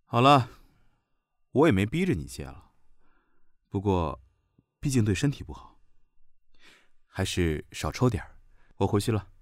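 An actor playing a young male general around thirty in a modern audio drama, familiar and musing, with a breathy voice. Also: fairly low pitch, sing-song, a little slow, long pauses, dry recording. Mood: familiar, casual.

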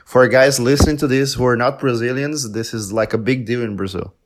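A man's voice: mid-range voice, fairly even intonation, fairly quick. Playful man joking around. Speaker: podcast guest. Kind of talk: casual conversation.

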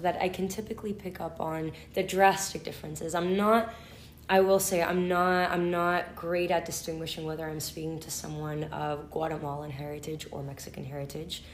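Low-voiced woman, Argentine Spanish accent. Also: echoey room, a little hiss or hum. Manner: thoughtful, earnest, with frequent "um"s and restarts. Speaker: interpreter and translator, podcast guest. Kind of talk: conversational podcast interview.

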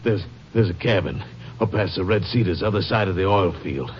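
A cowardly henchman stammers through a vintage radio crime drama. His pitch swings widely, and the old recording is muffled and hissy. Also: expressive. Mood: frightened, pleading. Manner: halting, stammering. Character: cornered, cowardly outlaw henchman, cracking under pressure.

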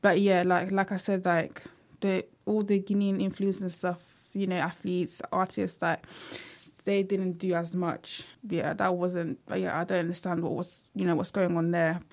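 A woman's voice: lowish voice, little pitch movement, normal speed, darkish tone. A young woman speaking earnestly in a casual vlog monologue, with frequent fillers like "like" and "you know". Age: young adult. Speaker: vlogger.

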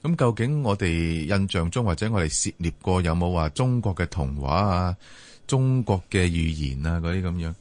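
Deep-voiced Hong Kong man on radio. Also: animated, normal speed, a little mellow. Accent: Hong Kong Cantonese. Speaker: radio talk show co-host. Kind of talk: casual late-night radio discussion.

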